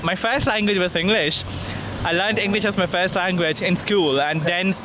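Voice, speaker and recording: A man's voice: sits high, normal speed. Young man from India, chatty and laughing. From India (Kolkata). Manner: chatty, laughs often, hesitant with "uh" and "like". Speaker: interviewee, MBA student. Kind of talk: casual street interview. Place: outdoors on a city street. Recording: street noise behind the voice.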